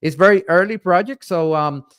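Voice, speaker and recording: A man from Puerto Rico hosting a casual tech live stream, talking in halting bursts with lots of fillers and restarts. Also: high voice, fairly bright tone, smooth. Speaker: community host and guest presenter.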